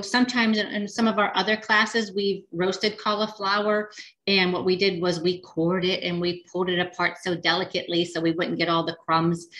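A woman's voice: mid-range voice, fairly bright tone. A woman teaching a casual cooking demonstration, chatty and rambling with frequent ums and restarts. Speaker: dietitian cooking instructor.

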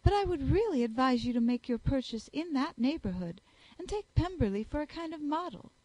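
A woman reading aloud, voicing a snobbish, flattering young society lady in a gushing manner. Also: high-pitched, fairly quick, no echo, voice pushed up, low-quality recording. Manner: gushing, fawning. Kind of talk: audiobook character voice.

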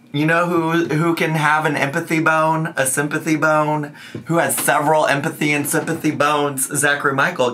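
A man in his thirties hosting a reaction video in casual, animated commentary, his voice still a bit congested. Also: a little high. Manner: chatty, animated, sing-song asides. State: congested, recovering voice. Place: living room with shelves.

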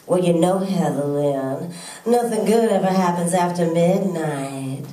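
A woman playing a sick, weary mother who scolds and guilt-trips in a slow, plaintive way in a stage monologue. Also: lowish voice, mellow, close to an everyday voice. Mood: scolding. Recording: echoey room, distant microphone.

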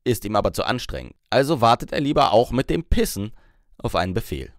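A man's voice: fairly low pitch, very animated, brisk, no echo, studio quality. A man narrating an audiobook, voicing a blunt, scornful male boss who lectures crudely.

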